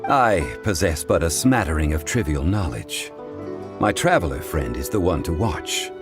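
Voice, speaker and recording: A man voicing a composed, courteous gentleman scholar in a video game, speaking in formal, measured, eloquent phrases. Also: low voice, animated, over music. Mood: composed, scholarly.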